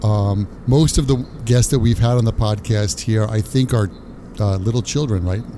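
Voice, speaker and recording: A man's voice: lowish voice, moderate pace, background noise. Upbeat male podcast host. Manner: upbeat, hesitant fillers. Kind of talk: casual podcast chat.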